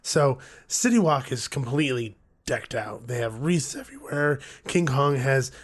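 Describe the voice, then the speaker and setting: A man co-hosting a podcast with a hoarse, gruff voice, chatting casually and joking around. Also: medium pitch, lively intonation, normal speed. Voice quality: gruff, rough. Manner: joking, rambling, laughs often. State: hoarse, losing his voice. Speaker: podcast co-host. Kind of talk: casual chat.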